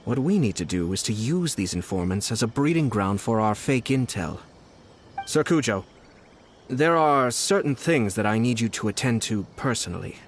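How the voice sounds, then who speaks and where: An actor playing a calm, shrewd young nobleman who explains schemes in a measured, deliberate way for a video game. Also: mid-range voice, slight background noise, close to an everyday voice. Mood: composed, scheming. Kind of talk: English video game voice acting. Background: background music.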